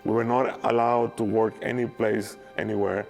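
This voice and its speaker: A man in his fifties from Venezuela, with a Venezuelan Spanish accent, speaking hesitantly with frequent pauses and repeated words in a documentary interview. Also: on the low side, flat delivery, vocal fry. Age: 50s. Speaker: former oil worker, interviewee. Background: soft guitar music.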